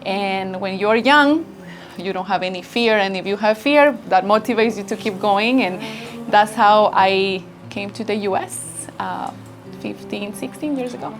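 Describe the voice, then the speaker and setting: Woman founder, 30s, from Ecuador, warm grateful interview talk, music under her. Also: medium pitch, measured pace. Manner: earnest, grateful storytelling. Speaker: business founder. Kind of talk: interview answers. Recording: background music bed.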